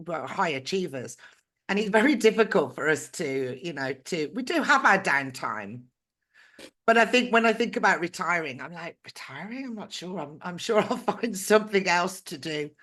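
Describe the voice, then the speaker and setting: A British woman hosting a podcast, chatty and encouraging, her voice going hoarse. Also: fairly low pitch, animated, normal speed, a bit bright. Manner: encouraging, chatty, asks leading questions. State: losing her voice. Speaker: podcast host. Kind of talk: casual interview chat.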